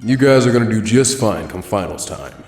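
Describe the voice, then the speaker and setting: A man voicing a calm, proud adult male ninja teacher who explains things in a measured way. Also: on the low side, slightly rough, faint music behind. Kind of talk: fanfic audiobook reading.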